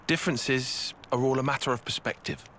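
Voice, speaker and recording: A man with a British accent voicing a dry, sceptical, bookish young soldier in a video game, measured and wry. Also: mid-range voice, fairly even intonation, bright, forceful tone, slight background noise. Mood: wry, reasoning. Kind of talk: scripted video game voice acting.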